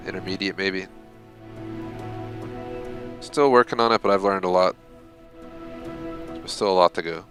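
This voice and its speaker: A man's voice: low voice, fairly bright tone. A young man giving casual, rambling live commentary while playing a game, swearing when frustrated. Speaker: game streamer.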